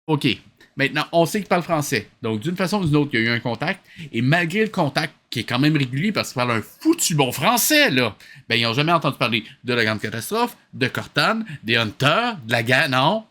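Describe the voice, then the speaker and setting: A man's voice: on the high side, very animated, a little fast, bright, forceful tone. A man with a Québécois French accent giving casual, sarcastic, exasperated commentary as host of a reaction show.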